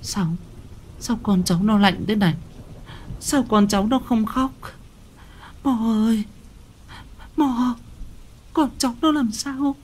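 A man voicing a desperate young mother who pleads in a high, strained voice. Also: fast talker, hardly any pauses, a little mellow, slight background noise.

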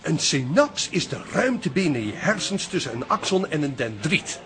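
An actor playing a pompous, self-assured know-it-all in a radio comedy-drama, lecturing pedantically. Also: male voice, fairly high pitch, very animated, moderate pace, a bit bright.

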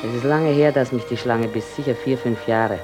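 A woman's voice: a bass voice, normal speed, over music. An actress in a German radio drama playing a sick woman in an asylum, self-mocking, teasing and wistful. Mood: wistful, reminiscing.

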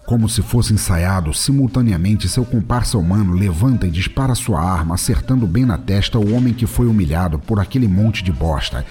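A man's voice: on the low side, animated, fairly quick, hardly any pauses, dark tone. A man with a Brazilian accent delivering scripted narration for a comic action story. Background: music.

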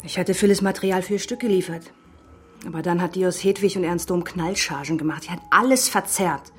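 An actress playing a very young, petite woman artist in a radio drama, speaking softly and deliberately, tender and provocative with a bitter edge. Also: lowish voice, slightly rough. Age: young adult.

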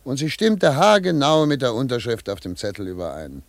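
Stern investigator with swooping intonation. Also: male voice, mid-range voice, huge pitch swings, a little fast. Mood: dry, narrating. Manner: commanding, clipped questioning. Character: stern, impatient male investigator interrogating a suspect. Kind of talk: German radio drama acting.

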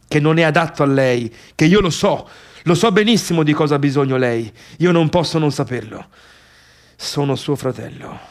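Man acting a neurotic Tuscan misfit, slightly high-voiced. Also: close to an everyday voice. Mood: obsessive, bitter. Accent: Tuscan Italian. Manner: agitated muttering self-talk, constantly contradicting himself. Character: neurotic, wavering man, bitter misfit brother obsessed with his sister. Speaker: stage actor. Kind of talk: theatrical radio monologue.

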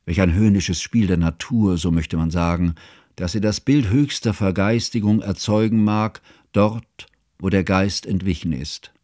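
An actor playing a grieving elderly scholar who narrates a literary radio drama in a measured, formal, elegiac manner. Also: male voice, sits low, darkish tone, no echo, studio quality. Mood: sorrowful.

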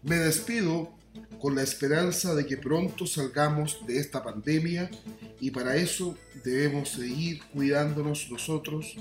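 A man's voice: on the high side, a little slow. A man with a Chilean accent hosting a radio news programme in a formal, scripted style. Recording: roomy echo, music bed.

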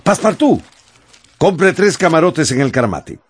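An actor playing a courteous, reserved English gentleman traveller in a radio drama, speaking formally and gallantly. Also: a little high, sing-song, measured pace, breathy edge, full character voice. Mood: commanding. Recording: music behind the voice.

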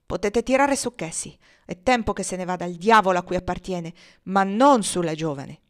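A woman reading an audiobook, voicing a brutal male slave owner who barks loud orders. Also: lowish voice, moderate pace, lightly acted. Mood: commanding. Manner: loud, barking commands.

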